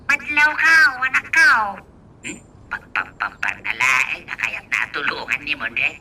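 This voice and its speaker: A woman acting an excitable, doting old father in a radio drama, exclaiming and drawling with chuckles. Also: very deep voice, a little slow, husky, full character voice. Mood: teasing, affectionate. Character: excitable, chuckling old father who dotes on his child.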